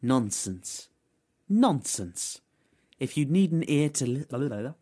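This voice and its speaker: Man acting as flirtatious servant healer, very slow delivery, theatrical, exclamatory, audio drama. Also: mid-range voice, very animated, warm tone, voice pushed up. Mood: dismissive, theatrical.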